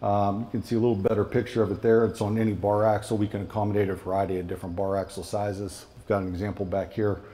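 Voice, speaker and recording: A man's voice: fairly low pitch, flat delivery, fast talker, few pauses, dark tone. A man giving an informal technical product presentation in a conversational, explanatory way, often checking in with his listeners.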